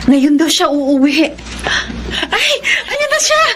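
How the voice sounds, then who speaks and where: A woman with a Filipino accent playing an excitable, doting mother in a radio drama. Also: sits high, takes it slowly, heavily acted. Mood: excited. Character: excitable, doting mother welcoming her child. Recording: clear background noise and clicks.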